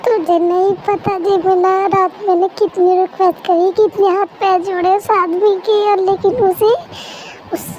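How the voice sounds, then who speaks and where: A woman's voice: very high voice, little pitch movement, moderate pace, dark tone, a little hiss or hum. A woman voicing a sulky, dramatic young wife. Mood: tearful. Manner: whiny, melodramatic complaining. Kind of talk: amateur fan-dub voice acting.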